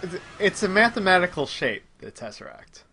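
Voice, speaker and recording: A man's voice: high voice, sing-song, fairly quick, bright, forceful tone. A man giving casual gameplay commentary as he plays, explaining details a little pedantically. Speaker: host playing the game.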